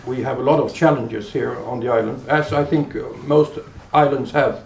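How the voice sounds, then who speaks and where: A man's voice: medium pitch, fairly even intonation, normal speed, gravelly, a little mellow, slight background noise. A man acting as local host, giving a formal welcome speech to visitors. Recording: distant mic in an echoey room.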